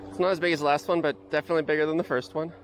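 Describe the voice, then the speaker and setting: A Canadian man with a slightly raised pitch talks casually while standing in a river. His reactions are quiet and easygoing. A faint hiss of rushing water sits under the voice, picked up by a head-mounted action camera. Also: little pitch movement. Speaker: fishing vlogger. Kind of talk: casual first-person vlog narration. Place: outdoors wading in a river. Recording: faint outdoor water noise.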